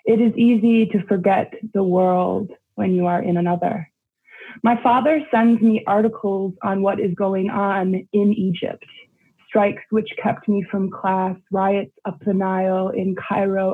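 Young adult with a gravelly, husky voice on a tinny line. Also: medium pitch. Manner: rambling, heavy with fillers in chat. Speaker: poet and guest. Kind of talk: poetry reading, then casual chat.

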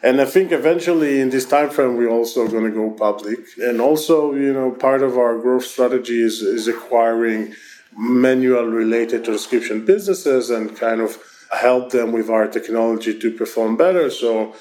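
A man with an Israeli accent, a company founder answering interview questions over an online call in a quick, loose way with frequent restarts. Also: medium pitch, a little mellow. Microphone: remote online call.

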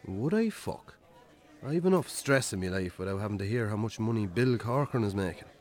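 An actor in a radio drama playing a weary, sardonic Irish farmer with a dry, colloquial, sweary way of talking. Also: male voice, lowish voice, expressive, brisk, darkish tone, lightly acted. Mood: bitter, sardonic. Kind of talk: naturalistic radio drama acting.

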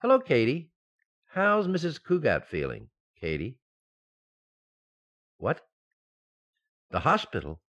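An actor playing a flustered, easily shocked husband and father-to-be in a radio sitcom, excitable and dithering. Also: medium pitch, takes it slowly, long pauses, full character voice. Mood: panicky. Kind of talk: old-time radio sitcom acting.

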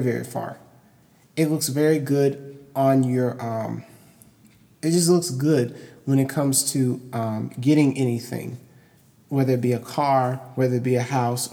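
Young man, lower voice, very echoey room. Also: darkish tone. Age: young adult. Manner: emphatic and repetitive, stressing key points. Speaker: podcast host. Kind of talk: informal educational solo podcast talk. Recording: long hollow room reverb.

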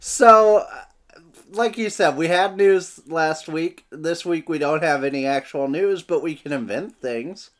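A man's voice: a little high, measured pace, fairly bright tone. A man with an American accent co-hosting a podcast, chatting casually and jokily about sports in a wry, bantering way. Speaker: podcast co-host. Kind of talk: casual joking sports chat.

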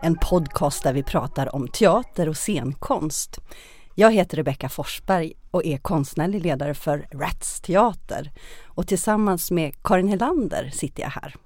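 A woman's voice: deep voice, lively intonation, a little mellow, no echo, clean studio sound. A woman hosting a podcast in a conversational way. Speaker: podcast host, theatre artistic director.

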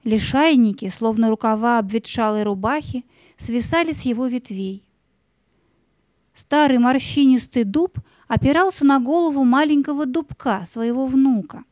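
A woman narrating a children's story in a measured, expressive way, drawing out words for dramatic effect. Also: fairly high pitch, no room sound.

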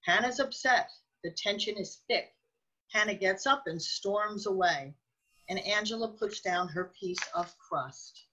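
A woman's voice: mid-range voice, measured pace, bright, forceful tone, low-quality recording. A woman reading scripted scene narration as the narrator of a radio drama.

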